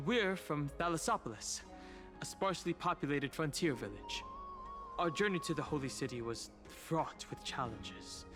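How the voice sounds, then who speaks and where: A man's voice: on the high side, animated, moderate pace, close to an everyday voice. A man playing a wry young traveler. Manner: dry, wry, slightly awkward. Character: wry young male traveler hiding his origins. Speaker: player character. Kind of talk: video game voice acting. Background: game music.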